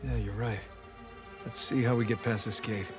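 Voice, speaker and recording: A man's voice: fairly low pitch, fairly even intonation, normal speed, music in the background, close to an everyday voice. Man playing joking male treasure hunter, dry banter, video game cutscene. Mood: playful. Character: adult male treasure hunter, wry and easygoing.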